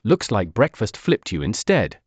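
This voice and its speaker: A kind storybook mother, acted out by a man, gently instructing a child. The delivery is encouraging and slow, with widely varied pitch. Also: huge pitch swings, slightly rough, no room sound, exaggerated voice. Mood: amused. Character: kind, encouraging mother guiding her young daughter.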